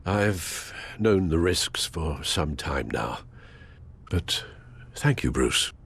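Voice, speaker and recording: A man's voice: a bass voice, expressive, extremely slow, vocal fry, a bit bright, husky, full character voice. An actor playing a loyal, injured elderly English butler in a video game, formal and fatherly, with a British accent. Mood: weary, grateful. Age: older. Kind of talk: dramatic video game acting.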